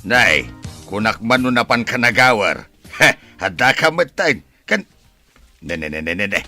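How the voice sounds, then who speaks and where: An actor playing a grumbling, sceptical older father in a radio drama, with exclamatory, drawn-out delivery. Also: fairly low pitch, big pitch swings, pushed and bright, faint music behind, full character voice.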